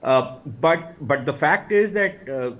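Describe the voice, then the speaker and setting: A man's voice: on the high side, lively intonation, a little slow, a bit bright. A man with an Indian accent giving blunt, scornful, opinionated analysis on a panel, arguing point by point. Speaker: political commentator on a panel.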